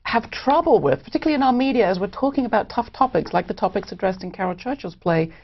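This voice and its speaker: A woman interviewer speaks in a measured, conversational style, reworking her questions as she goes. Her voice has plenty of vocal fry. The audio is a little dull and lo-fi. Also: mid-range voice, lively intonation. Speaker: interview host.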